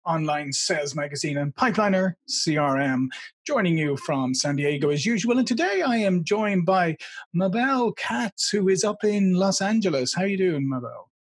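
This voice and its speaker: Brisk man hosting an interview. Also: high-pitched, lively intonation, slightly rough. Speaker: interview host. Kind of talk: video call interview. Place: studio with branded backdrop. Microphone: studio mic seen in front.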